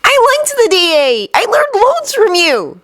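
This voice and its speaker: A woman's voice: very high voice, animated, a little fast, fairly bright tone, pitched above the performer's own voice. A woman voicing a nervous, earnest teenage boy wizard in a storybook reading, with an eager, rising voice.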